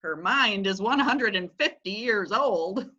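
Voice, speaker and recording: A woman playing a needling, sharp-voiced widow. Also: medium pitch, lively intonation, unhurried, muffled recording. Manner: teasing, pointed. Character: sharp-tongued wealthy widow, teasing and skeptical. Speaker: actor. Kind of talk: readers theatre reading.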